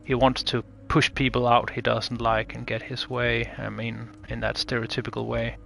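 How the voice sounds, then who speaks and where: A man's voice: mid-range voice, monotone, moderate pace, vocal fry, fairly bright tone. A man in a casual analytical discussion, speaking tentatively and thinking aloud as an interviewer. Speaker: interviewer researching game voices.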